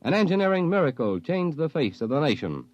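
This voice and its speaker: A man's voice: fairly high pitch, very animated, brisk, darkish tone, no room sound. A man reading scripted promotional narration in an emphatic, dramatic 1950s radio style. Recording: dull lo-fi old radio recording.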